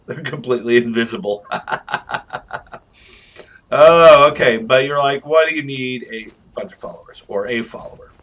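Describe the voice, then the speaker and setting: A man's voice: mid-range voice, normal speed, long pauses, a bit bright, a little hiss or hum, rough recording. A man giving casual, jokey, rambling video game review commentary.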